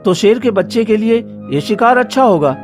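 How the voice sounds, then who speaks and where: A man voicing an earnest young village man in a cartoon, explaining calmly and reasonably. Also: high voice, normal speed, faint music behind. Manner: steady and reasoning.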